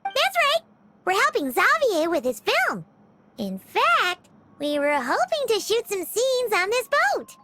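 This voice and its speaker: A woman voicing a tiny, excitable, childlike fairy companion in a video game, blunt and cheerful. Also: extremely high pitch, slow, a little hiss or hum, heavily acted. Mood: chirpy. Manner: speaks of self in third person, exclaims. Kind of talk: video game character acting. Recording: loud music behind.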